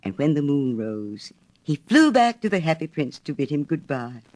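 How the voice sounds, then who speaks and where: A woman narrating a storybook fairy tale in an expressive reading style. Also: low voice, moderate pace.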